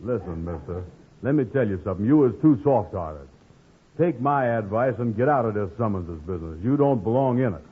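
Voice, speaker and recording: A gullible, blustering sitcom lug from 1940s radio. His voice is low and rough with huskiness, and he hems through mangled grammar. The sound is thin and narrow, like an old broadcast. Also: animated. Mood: insistent. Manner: slow-witted, hemming, mangling grammar. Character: gullible, blustering, soft-hearted big lug of a man. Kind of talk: 1940s radio comedy acting. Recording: tinny, band-limited old radio recording.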